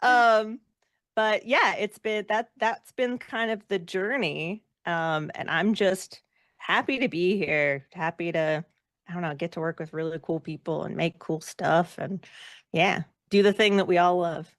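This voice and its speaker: A woman speaking casually as an interview guest, reflective and self-deprecating, with an animated way of talking. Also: fairly low pitch, a little slow, no room sound, clean studio sound. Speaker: guest, actor and performer.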